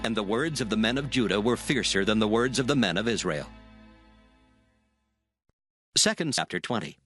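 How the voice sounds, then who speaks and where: A man's voice: on the low side, expressive, moderate pace, a bit bright. A man narrating a dramatized scripture from a script in a solemn style. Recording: music behind the voice.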